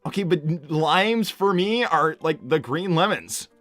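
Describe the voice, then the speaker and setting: A man playing a cocky, laid-back, slightly dense young hedgehog hero in improvised live roleplay, talking casually and haltingly with plenty of filler words. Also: on the high side, animated, fairly bright tone, no echo, faint music behind. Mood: stubbornly clueless. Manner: casual, halting, lots of "like" and "you know". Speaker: live stream character performer reading donor messages.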